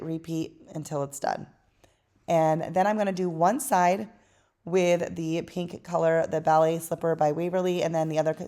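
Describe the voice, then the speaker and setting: A woman hosting a craft channel, chatting casually through a voice-over tutorial in a tired, hoarse voice. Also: on the low side. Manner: chatty and relaxed, with fillers.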